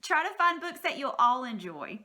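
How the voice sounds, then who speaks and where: A woman's voice: high-pitched, very animated, moderate pace, pushed and bright. A woman speaking as a teacher, giving informal, encouraging and reassuring advice to parents in a plain-spoken, folksy way.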